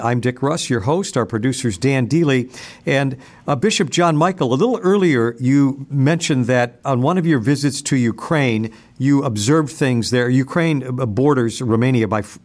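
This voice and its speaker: A man hosts a radio talk show in a measured, conversational way. His voice sits at a mid pitch, and he sometimes stumbles over his words. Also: darkish tone. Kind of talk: radio interview hosting.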